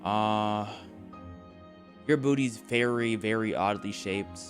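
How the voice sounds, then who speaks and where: A man's voice: on the low side, takes it slowly, faint music behind. A tired young man live-streaming late at night, rambling and joking with his chat, often repeating and restarting his words. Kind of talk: casual live-stream chatter.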